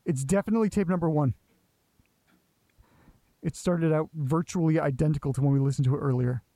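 Nervy, needy guy, played by a male actor: slightly high, warm dark tone, very dry sound, jittery naturalistic drama delivery. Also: brisk, no echo, natural voice. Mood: anxious, pleading. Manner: hesitant, rambling, jittery. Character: anxious, sweary male tape listener, needy and rattled. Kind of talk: naturalistic audio drama acting.

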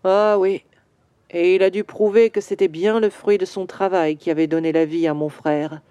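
A woman voicing a grieving male bronze statue in a children's audio story, slow and wistful. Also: on the low side, steady tone, mellow, smooth, no echo, close to an everyday voice. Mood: sorrowful.

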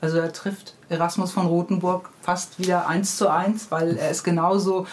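Low-voiced woman in an interview. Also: flat delivery, moderate pace, a little hiss or hum. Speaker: interview guest, children's book author. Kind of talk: casual interview chat. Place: studio.

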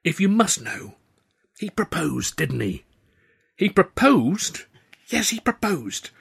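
A man voicing a flustered young woman, a stage assistant, in an audiobook reading, with a husky voice. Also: big pitch swings, a bit bright, heavily acted. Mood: flustered, defensive. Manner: quick, defensive, higher voice.

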